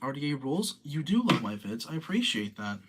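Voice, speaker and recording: A man's voice: mid-range voice, big pitch swings, a little fast, fairly bright tone, slight background noise. A young man in his late teens, casually rambling through commentary and reading viewer comments aloud. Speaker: streamer reading chat.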